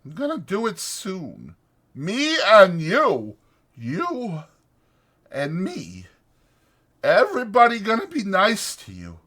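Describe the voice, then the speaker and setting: A man voicing a weary, protective ranch hand who talks in a halting, soothing, anguished way in a dramatized audiobook. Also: sits high, huge pitch swings, pushed and bright, voice pushed up, exaggerated voice. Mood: tender, halting.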